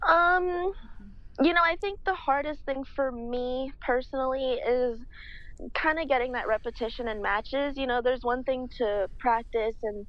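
A woman's voice: high-pitched, no room sound. Young woman, dull dry remote line. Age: 20s. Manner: measured, slow, many fillers. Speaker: guest, pro tennis player. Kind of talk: relaxed interview answers.

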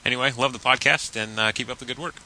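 A man's voice: medium pitch, fast talker, bright, forceful tone, poor audio. A man leaving a friendly recorded fan message for a show.

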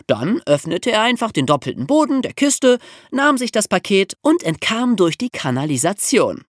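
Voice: medium pitch, sing-song, moderate pace, no room sound, studio quality. A podcast host telling true crime stories for young listeners in an amused, colloquial way.

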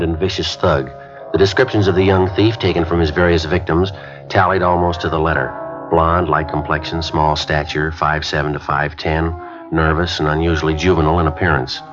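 A terse police detective, as an actor plays him in an old radio drama, reading off times and facts in a clipped, deadpan way. His voice sits very low. The broadcast sounds dull and lo-fi, with music under it. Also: close to an everyday voice. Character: terse, matter-of-fact male police detective, adult. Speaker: detective and narrator. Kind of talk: 1950s radio drama acting.

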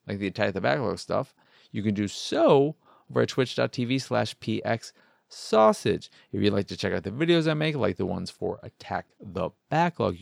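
Tired gravelly guy doing a casual solo podcast, playful rambling, real dry close sound. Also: medium pitch, no echo. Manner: informal, self-deprecating, playful, mild swearing. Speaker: solo video game podcast host.

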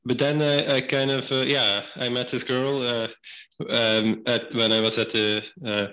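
Belgian-born founder, bright flat-toned guest, halting delivery. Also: male voice, medium pitch. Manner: hesitant, many fillers and restarts. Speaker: guest, game company founder. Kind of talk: casual interview talk.

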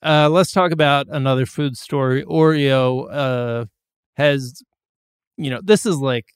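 A man's voice: medium pitch, clean tone, no room sound, studio quality. A man in his forties co-hosting a podcast, chatting casually with a loose, profane, joking manner. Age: 40s. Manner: loose, profane, quick to joke. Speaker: podcast co-host. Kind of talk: casual comedic chat.

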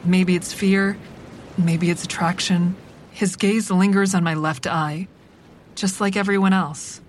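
A woman as a cool, low-voiced calculating narrator. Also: fairly even intonation, measured pace, lightly acted. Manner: wry, controlled, matter-of-fact. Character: cool, calculating young woman narrating her own manipulations. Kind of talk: scripted first-person drama narration. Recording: faint traffic rumble behind.

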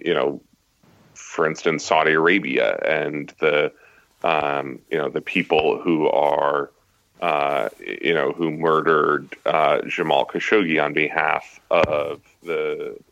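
A man giving halting, analytical commentary as a guest in a conversational interview. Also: very deep voice, vocal fry, fairly bright tone, no echo, lo-fi sound. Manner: halting, analytical, frequent hedging phrases. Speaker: guest journalist.

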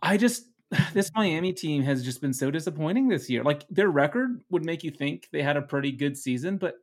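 A man's voice: on the high side, expressive, moderate pace. A laid-back man with a Dutch accent co-hosts a casual sports podcast in English, his second language. He laughs easily and trips over restarts as he talks through the games. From Netherlands. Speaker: podcast co-host.

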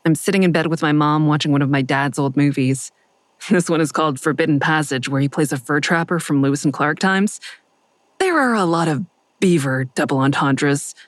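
An actress playing a wry grown daughter who narrates her own story in a dry, deadpan first-person style. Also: sits low, normal speed, no room sound, lightly acted. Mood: wry, confiding. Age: adult.

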